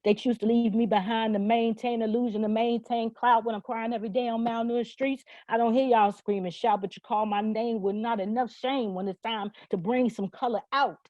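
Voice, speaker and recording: A woman's voice: fairly high pitch, fairly even intonation, fairly quick, few pauses, darkish tone, no echo, lo-fi sound. A woman performing spoken word poetry with rhythmic, rhyming phrasing and an emphatic, declarative manner. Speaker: poet.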